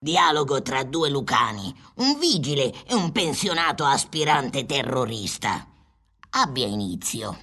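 An actor as a playful scriptwriter in a radio play. The voice is bright and raspy, with theatrical, lively swings as lines are tossed to writing partners. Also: mid-range voice, measured pace, exaggerated voice. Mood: announcing.

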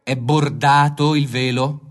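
A man's voice: medium pitch, steady tone, slow pace, close to an everyday voice. A man playing a pedantic, suspicious interrogator in a comic radio play, asking methodical, insistent questions. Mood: probing. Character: persistent, pedantic male interrogator, solemnly suspicious.

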